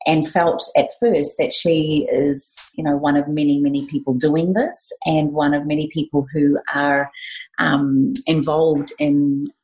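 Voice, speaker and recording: Low-voiced New woman hosting. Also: dark tone, low-quality recording. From New Zealand. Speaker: podcast host. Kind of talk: conversational interviewing.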